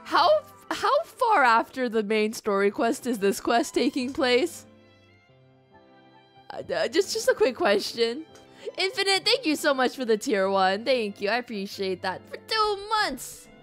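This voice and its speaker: A woman's voice: a little high, big pitch swings, a little slow, fairly bright tone. A young woman doing casual live gaming commentary, playful, chatty and emotive, often repeating her words. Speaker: game streamer. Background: game music.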